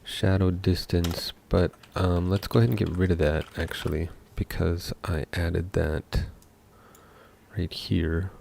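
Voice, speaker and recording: A young man from Seattle, a game developer talking casually while coding live, thinking aloud with many pauses. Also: deep voice, fairly even intonation, gravelly, a little mellow, a little hiss or hum. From Seattle, USA.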